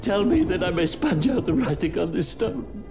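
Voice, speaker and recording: A man's voice: high voice, sing-song, normal speed, over music. A man playing an emotional old miser in a theatrical storybook drama. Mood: pleading.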